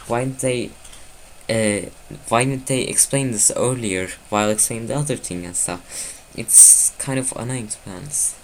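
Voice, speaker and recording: A man's voice: mid-range voice, rough recording. Guy rambling while playing a game, mid voice, faint noise. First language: Turkish. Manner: rambling, self-correcting rant. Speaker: let's play host. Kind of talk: casual gameplay commentary.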